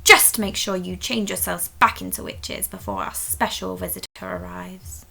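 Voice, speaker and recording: Woman reader as storybook witch schoolteacher, stern, cross, exasperated, emphatic, forceful bright voice. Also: fairly low pitch, steady tone, moderate pace, gravelly, exaggerated voice.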